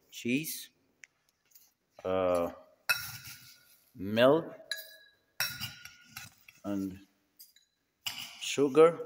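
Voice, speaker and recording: A man's voice: lowish voice, big-room sound. A man in his twenties with an Afghan accent, narrating a casual vlog as a tour guide in short, repetitive phrases. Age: 20s. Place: indoors in hotel halls, rooms and dining room. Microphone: phone at arm's length.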